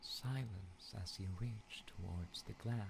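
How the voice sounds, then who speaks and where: A man playing a solemn, grave narrator of a fantasy game in measured, portentous, poetic delivery with a deep gravelly voice. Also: exaggerated voice. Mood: grave, hushed. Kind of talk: slow dramatic scripted narration. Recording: noticeable background noise.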